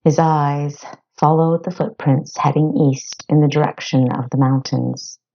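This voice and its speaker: A woman narrates a scripted story as an audiobook. Her voice sits low and she moves slowly through the prose. The sound is a little dull. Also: fairly even intonation, warm tone. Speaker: author narrating own audiobook.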